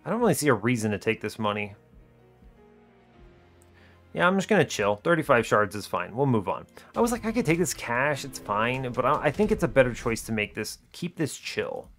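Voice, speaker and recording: A man's voice: medium pitch, sing-song, brisk, fairly bright tone, faint music behind. A man giving casual video game commentary, thinking aloud and questioning himself as he talks through each decision. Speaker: gaming video host.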